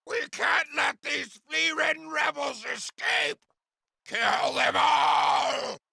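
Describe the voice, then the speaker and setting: A man voicing a fanatical, hateful enemy soldier with a screechy, gravelly voice in a video game. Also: very high-pitched, slow pace, sharp tone, no room sound, clean studio sound, exaggerated voice. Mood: frenzied, hateful. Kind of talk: dramatic video game voice acting.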